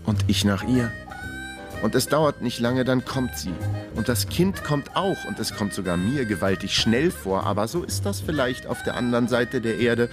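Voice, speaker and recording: A man's voice: mid-range voice, animated, normal speed, over music, natural voice. An actor playing a trapped, wryly self-mocking man who narrates his own story in a radio crime drama. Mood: dreamy, wry. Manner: wry, matter-of-fact musing. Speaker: narrator and lead character.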